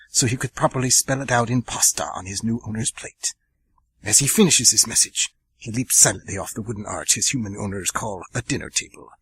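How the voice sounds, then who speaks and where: A man's voice: mid-range voice, sharp tone, husky, rough recording. A man hosting an amateur radio theatre show, narrating the story earnestly and chatting between segments with casual fillers.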